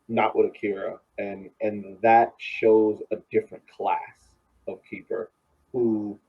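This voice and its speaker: Husky low-voiced man analysing sports, muffled recording. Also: darkish tone. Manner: halting, repeats words as he builds a point. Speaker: soccer podcast co-host. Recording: dull, lo-fi microphone sound.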